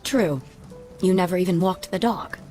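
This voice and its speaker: A woman plays a no-nonsense, wounded ex-wife in a radio play, her voice on the low side. She is sarcastic and reproachful, keeping her guard up, with faint music behind her. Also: moderate pace, mellow, natural voice. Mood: bitter, hurt. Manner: sardonic, clipped, reproachful. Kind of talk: radio drama acting. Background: faint background music.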